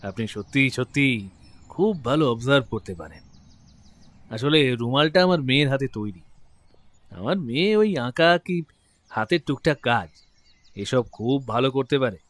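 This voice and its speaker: An actor playing a genial, talkative middle-aged gentleman client in a detective audio drama. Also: medium pitch, lively intonation, normal speed, pauses often, no room sound, natural voice. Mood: impressed, genial. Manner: genial, talkative, open-hearted. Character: affable, chatty gentleman client, proud father.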